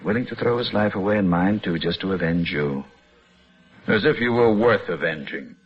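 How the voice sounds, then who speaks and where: An actor playing a sadistic, pompous chess-obsessed villain in an old-time radio drama, with mocking, precise, theatrical diction. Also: male voice, deep voice, normal speed, slightly rough. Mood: mocking, gloating. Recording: muffled old radio recording with music.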